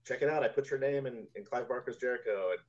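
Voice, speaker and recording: A man in his forties telling stories casually as an interview guest, halting as he goes, over a video call. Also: medium pitch, a little mellow. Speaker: guest, composer. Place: room at home, indoors, on a video call. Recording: dull, lo-fi video-call audio.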